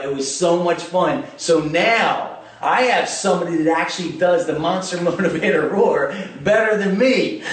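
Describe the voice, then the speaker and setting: A middle-aged man hosting a motivational interview, talking with upbeat, energetic hype. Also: on the high side. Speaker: host. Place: indoor event room. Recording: echoey room, distant mic.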